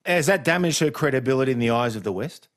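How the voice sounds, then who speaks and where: A man's voice: mid-range voice, lively intonation, fast talker. A man hosting a radio interview program, asking pointed, probing questions and wrapping up the show.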